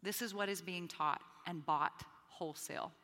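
A woman's voice: fairly low pitch, normal speed, bright, forceful tone. A woman from the Bahamas, a guest on a live podcast, speaking in an earnest, emphatic way. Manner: emphatic, earnest, repeats words. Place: conference stage with hall. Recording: live hall reverb.

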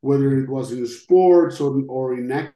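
A middle-aged man from Costa Rica with a Costa Rican Spanish accent gives personal testimony in an interview, speaking in a halting, reflective way. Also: mid-range voice, mellow, highs cut off. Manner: halting, reflective, with long pauses. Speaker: interview guest, podcast host. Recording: roomy, echoing sound.

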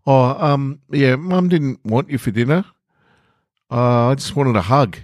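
A man reading the part of a shy child in a short story, tentative and halting. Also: mid-range voice, a little mellow, dry recording, clean studio sound, voice pushed up, close to an everyday voice. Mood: shyly hopeful. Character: shy, hesitant child wanting a hug.

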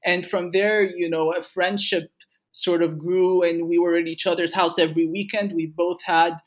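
A man's voice: high-pitched, moderate pace, rough recording. A young man from Egypt, a game studio co-founder, telling stories in casual conversation with many fillers. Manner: relaxed storytelling with frequent fillers.

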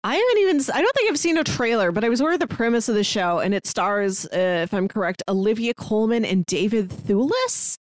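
A woman chats casually as a podcast co-host. Her voice sits on the high side and moves with very animated intonation. The sound is dry and close, with no room echo. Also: brisk, hardly any pauses. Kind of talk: casual review podcast chat.

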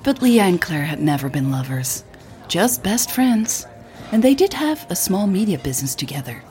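A woman narrator reads scripted fiction for a podcast. Her voice sits fairly low but moves with lively inflection, and soft music plays behind her. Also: normal speed.

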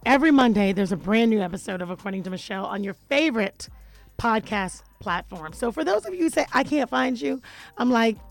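A woman radio host with a deep, raspy, gravelly voice that is slightly hoarse, pitched a touch higher than most women. She talks in a lively, chatty way and greets her listeners one by one, with faint music playing behind her in the studio. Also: a little fast. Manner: animated, chatty, gives listener shout-outs. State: a little hoarse. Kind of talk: casual radio chat.

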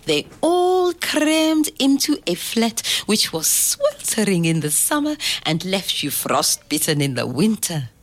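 A woman playing a loving, firm grandmother who tells a bedtime story in a measured, tender, expressive way. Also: mid-range voice. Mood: grave. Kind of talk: scripted dramatic story narration.

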